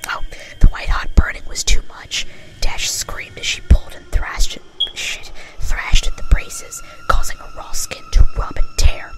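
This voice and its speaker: A woman's voice: very high-pitched, completely flat delivery, normal speed, poor audio. A woman reading a story aloud in whispered ASMR style, sometimes stumbling and muttering to herself. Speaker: amateur story reader.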